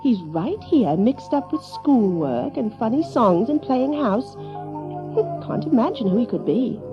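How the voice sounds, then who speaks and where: A woman's voice: a little high, sing-song, a little slow, dull audio, music in the background. A woman playing a sweet, genteel, wondering mother in a children's audio drama. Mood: puzzled.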